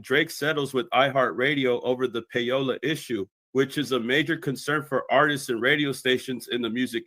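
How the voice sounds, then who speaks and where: A man's voice: mid-range voice, a bit bright, dry recording. A man delivering scripted explanatory commentary in a methodical, expository way as a video commentator.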